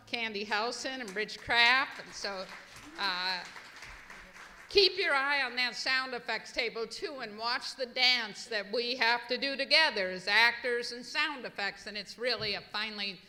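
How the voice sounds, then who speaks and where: A woman's voice: a little high, sharp tone. A woman presenting a live show from the stage, introducing the next act off the cuff. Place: museum hall stage. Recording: echoey hall, slight background noise.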